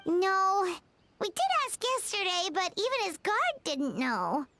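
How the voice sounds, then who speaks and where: A woman voicing a small, excitable, bossy girl companion in an anime game, with music behind her. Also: extremely high pitch, measured pace, background noise, exaggerated voice. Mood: determined. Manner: exclamatory, dramatic, cheeky. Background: background music.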